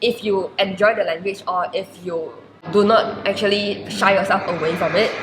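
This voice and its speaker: A woman's voice: mid-range voice, fairly even intonation, normal speed. Twenties malaysian girl, chinese first language, thoughtful rambly interview answers, echoey room. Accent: Malaysian English. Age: 20s. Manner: reflective, many "like" fillers. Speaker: university student guest. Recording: roomy echo with faint noise.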